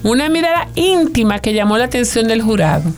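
A woman presents entertainment news in a loose, chatty way, drifting into jokes and asides. Her voice sits a little high, with faint music running under her. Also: sing-song, a little fast. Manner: chatty, digressive, jokey asides. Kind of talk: conversational news report.

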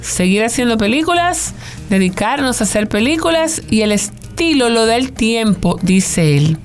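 A woman's voice: a little high, big pitch swings, moderate pace. A woman presenting film news in a chatty, conversational way, adding jokey asides. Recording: music bed underneath.

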